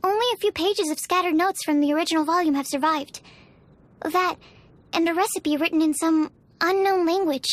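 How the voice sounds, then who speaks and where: A woman's voice: extremely high pitch, moderate pace, no echo. A woman voicing an earnest, shy young female researcher in a video game, speaking politely and hesitantly. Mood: hesitant, explaining. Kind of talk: video game character acting.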